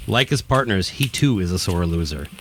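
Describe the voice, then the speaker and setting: A man's voice: deep voice, lively intonation, moderate pace, a bit bright, slight background noise. A man reading scripted narration for a radio play. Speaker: narrator, podcast host.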